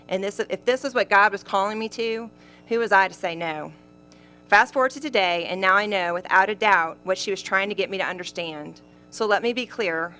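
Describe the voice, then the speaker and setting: Earnest, bright-voiced Tennessee woman candidate. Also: mid-range voice. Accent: Southern US, Tennessee. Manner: earnest, measured delivery. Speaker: county commission candidate. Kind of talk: prepared campaign closing statement. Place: county government meeting room.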